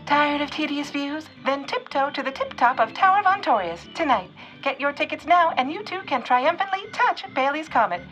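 A perky spokeswoman in a vintage radio commercial. The voice is high and chirpy, rattling off sales patter through a radio filter with faint music underneath. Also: very animated, normal speed, hardly any pauses, a bit bright, low-quality recording. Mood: perky, salesy. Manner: crisp alliterative sales patter. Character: perky old-time radio advertisement spokeswoman.

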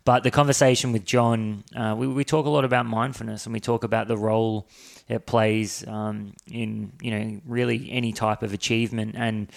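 A man hosting a podcast, his voice hoarse from a sore throat and gravelly with fry. He talks low and flat, wandering through his introduction with plenty of fillers and restarts. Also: moderate pace. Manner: rambling, many fillers and restarts. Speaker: podcast host. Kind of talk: solo podcast episode introduction.

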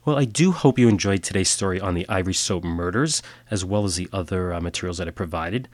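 Deep-voiced man with a stuffy nose. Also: expressive, fast talker, no room sound. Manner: plain matter-of-fact delivery with filler uhs in sign-off. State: nasal from a cold. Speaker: podcast host. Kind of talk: scripted storytelling narration.

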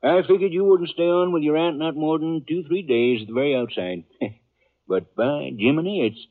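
A man playing a high-voiced, rustic frontier storekeeper. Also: moderate pace, natural voice. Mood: amused, teasing. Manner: rustic, chuckling drawl. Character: folksy, good-natured, chuckling frontier bachelor storekeeper. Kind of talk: 1950s Western radio drama acting. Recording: dull vintage radio recording.

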